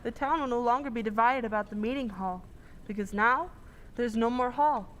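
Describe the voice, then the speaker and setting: A woman's voice: on the high side, normal speed, over music, lightly acted. A woman playing a detective's curious female companion in an old-time radio drama. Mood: curious, relieved.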